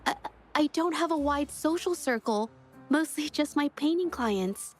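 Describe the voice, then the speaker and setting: Timid game girl, young painter, high voice, hesitant and stammery, always apologizing. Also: animated, a little slow, faint music behind. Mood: flustered, timid. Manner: hesitant, stammering, self-effacing. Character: shy, flustered young woman painter, sweet and apologetic. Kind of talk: video game character dialogue.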